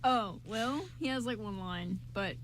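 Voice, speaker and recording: A woman's voice: medium pitch, measured pace. A young adult woman co-hosting a radio show in casual, joking chat with short interjections. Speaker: radio drama show co-host and writer. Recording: noisy room recording.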